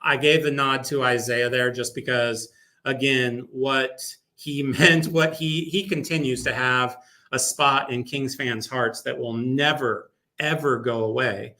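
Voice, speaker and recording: A man's voice: medium pitch, fairly even intonation, measured pace, pushed and bright. A man speaking casually as a guest in a sports radio interview, telling stories with emphatic repetition. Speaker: guest, sports public address announcer.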